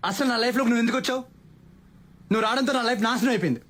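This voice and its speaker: A man's voice: very high-pitched, racing, fairly bright tone. A man in his twenties playing an intense, heartbroken young lover in an emotional film. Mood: hurt, accusing. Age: 20s. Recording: film music under the voice.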